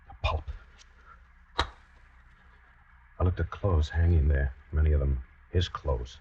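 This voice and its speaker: Anxious lovestruck noir killer, very low voice, 1940s radio suspense, muffled vintage sound. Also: male voice, slight background noise. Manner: hesitant, stammering, polite in dialogue; tense, measured narrating. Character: nervous, desperate lovestruck male pollster turned would-be killer. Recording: dull old radio recording with music.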